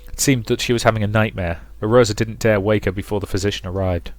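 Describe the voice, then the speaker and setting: A man's voice: fairly low pitch, fairly even intonation, fast, dry recording. A man reading a visual novel aloud as dramatic storybook narration for a let's play.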